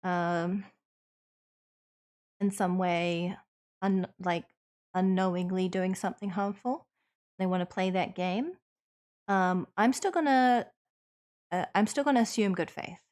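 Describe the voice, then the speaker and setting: A woman's voice: mid-range voice, fairly even intonation, slow, pauses often, a little mellow. Earnest New Zealand woman streamer. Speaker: livestream host. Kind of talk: conversational livestream Q&A, partly reading chat aloud.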